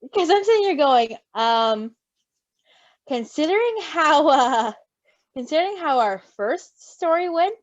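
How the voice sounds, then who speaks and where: Expressive, higher-pitched woman, lo-fi sound. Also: a little slow, dry recording. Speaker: story reader and co-reactor. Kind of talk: fan fiction reading and casual chat.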